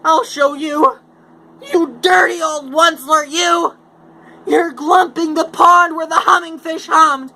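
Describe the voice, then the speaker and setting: A small grumpy forest creature scolds in a squawking, croaky voice pitched far above the narration. The fussy complaints come high and strained. Steady background noise sits under the reading. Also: slow, full character voice. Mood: indignant.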